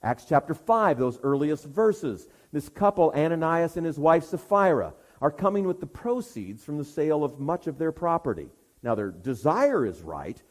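A man preaching an expository sermon in an emphatic manner, with rhetorical questions and deliberate pauses for weight. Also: on the high side, very animated.

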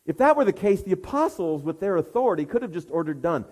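A man preaching an expository sermon in an emphatic manner, with rhetorical questions and deliberate pauses for weight. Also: high-pitched, very animated, a little mellow.

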